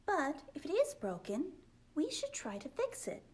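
A woman reading aloud as a small, excitable storybook girl with a high, bouncing voice that leaps up and down in pitch. She speaks eagerly, often dropping into a breathless whisper. Her delivery is slow and careful. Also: heavily acted. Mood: puzzled.

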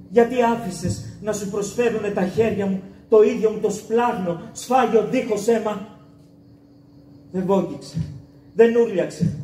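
A man's voice: high voice, mellow, close to an everyday voice. A man playing an anguished grieving father. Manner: slow, anguished, lamenting delivery. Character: grieving father mourning a daughter he wronged. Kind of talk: theatrical monologue, stage declamation. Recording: echoey hall, voice from far off, background noise.

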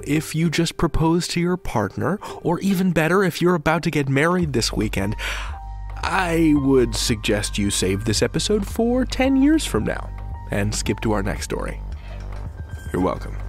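A man introducing a podcast episode from a script with a wry, joking delivery. His voice moves up and down a lot, and clear music plays under his words. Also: a little high, wildly swooping pitch, unhurried. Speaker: podcast host.

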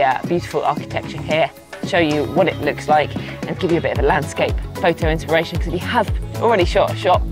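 Upbeat woman vlogging outdoors. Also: deep voice. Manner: upbeat, chatty, gestures while talking. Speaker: travel photographer vlogger. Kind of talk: casual to-camera vlog. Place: outdoors by a roadside at dusk. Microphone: lapel mic. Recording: background music playing.

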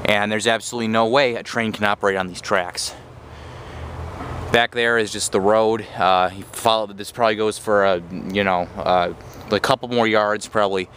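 A man's voice: on the low side, fairly even intonation, normal speed, fairly bright tone. Rambling man exploring outdoors. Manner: rambling, with restarts and fillers, narrating as he goes. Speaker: vlogger exploring abandoned railroad tracks. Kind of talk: handheld walking commentary. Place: overgrown woods outdoors. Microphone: handheld camera mic while walking. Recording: outdoor noise behind the voice.

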